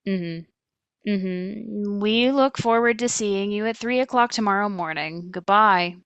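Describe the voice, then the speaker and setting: An actress playing a polite, dryly efficient receptionist at a manor in an audio play, with a measured, courteous manner. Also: female voice, mid-range voice, clean tone, no echo, natural voice. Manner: measured, courteous phone-desk delivery.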